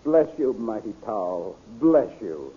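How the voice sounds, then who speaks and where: An actor playing a devoted, deferential officer in a 1930s radio adventure, with earnest theatrical delivery. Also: male voice, on the low side, sing-song, slow, background noise. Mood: humble, pleading. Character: brave, devoted male officer, sometimes posing as humble peddler. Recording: muffled tinny old radio recording.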